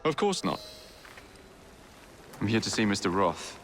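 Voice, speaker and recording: An actor playing a cocky young Victorian gang leader in a video game, with a wry, clipped delivery. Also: male voice, lowish voice, big pitch swings, measured pace, fairly bright tone. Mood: cool, challenging. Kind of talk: video game cutscene acting. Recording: clearly noisy street and room ambience.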